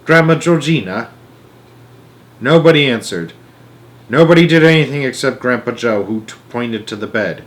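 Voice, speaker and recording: A man with a mid-pitched voice reads a children's book aloud as a storyteller. His delivery is expressive and homemade, with small stumbles that he corrects as he goes. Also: moderate pace. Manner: expressive, stumbles over words and corrects himself. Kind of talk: amateur read-aloud narration with asides.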